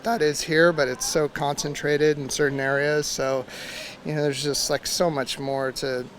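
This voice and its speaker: A man's voice: a little high, flat delivery, moderate pace, fairly bright tone, low-quality recording. A man from the United States giving casual interview answers. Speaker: street interviewee. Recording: outdoor street with traffic noise.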